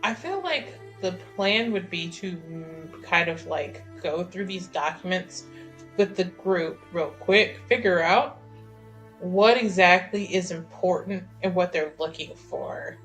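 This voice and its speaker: A woman's voice: on the low side, animated, slow pace, soft background music. A woman playing a tabletop roleplaying game, casually and hesitantly thinking her way through the story.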